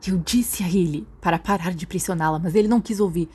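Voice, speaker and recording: A woman's voice: lowish voice, quick pace, mellow, breathy edge. A Brazilian woman voicing a haughty, bitter young pure-blood wizard boy in an audiobook, with an emotional delivery. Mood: haunted, subdued.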